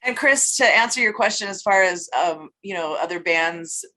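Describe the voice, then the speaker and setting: A woman's voice: fairly low pitch, expressive, normal speed, bright, forceful tone. A woman giving casual answers as an interview guest. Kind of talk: casual chat.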